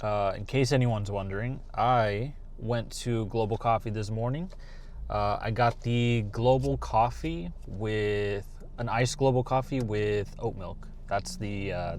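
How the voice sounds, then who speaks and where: A man's voice: on the low side, a little mellow, a little hiss or hum. A young man talking casually to camera as a vlogger, rambling with lots of fillers and restarts.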